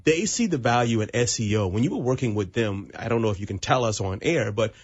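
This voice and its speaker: Male radio host, affable, husky tone, muffled dull broadcast sound. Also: fairly low pitch, animated, quick pace. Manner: affable, eager interviewer. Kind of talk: live radio interview.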